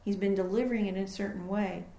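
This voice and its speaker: Woman studio engineer, gravelly edge, earnest and unhurried, roomy echo. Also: mid-range voice, fairly even intonation, warm tone. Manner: earnest, explains with pauses and fillers. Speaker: recording engineer and studio owner. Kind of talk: casual interview talk. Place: her home recording studio. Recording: echoey room.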